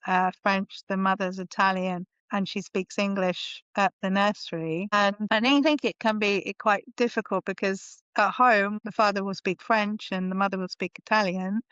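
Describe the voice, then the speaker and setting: A woman's voice: medium pitch, a bit bright, clean tone, no echo, muffled recording. A woman guest on a podcast, chatting casually and thinking aloud with frequent restarts. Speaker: podcast guest, marketing consultant. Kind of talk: casual conversation.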